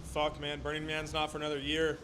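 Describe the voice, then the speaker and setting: A man in his late twenties answers his coach quickly and casually. His voice sits slightly high and moves fast. Clear background noise runs under him. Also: completely flat delivery. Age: 20s. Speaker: coaching client. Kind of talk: casual conversation.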